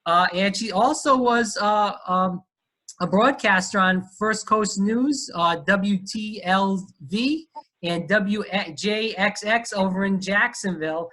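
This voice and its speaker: A man's voice: high-pitched, slow pace, bright, forceful tone. A man from Rhode Island with a Rhode Island accent, hosting a casual interview and talking in a chatty way with lots of fillers. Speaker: podcast host.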